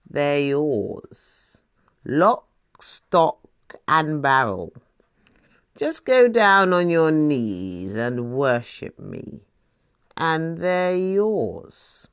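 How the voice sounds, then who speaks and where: A woman's voice: low-pitched, very animated, clean tone, dry recording, studio quality, exaggerated voice. A woman voicing the devil in a scripture story as a sly, smug male tempter, coaxing and drawing out the words. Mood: oily, tempting. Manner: slow, drawn-out, coaxing with humming lead-ins.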